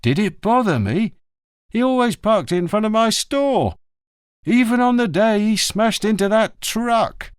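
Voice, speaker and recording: A man's voice: high-pitched, lively intonation, unhurried, smooth, dry recording, clean studio sound, pitched above the performer's own voice. A man reading an audiobook, voicing a quarrelsome elderly greengrocer who swears and snaps back sarcastically. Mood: irritated. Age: older. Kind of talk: audiobook character voice.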